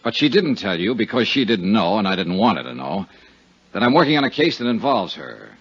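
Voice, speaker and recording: Hard-boiled investigator, American, deep, very animated intonation, clipped, wry, 1950s radio drama, muffled old recording. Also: male voice, fairly quick, husky, full character voice. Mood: businesslike. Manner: clipped, dry, tough. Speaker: radio drama lead actor and narrator. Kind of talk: 1950s radio detective acting, first-person narration.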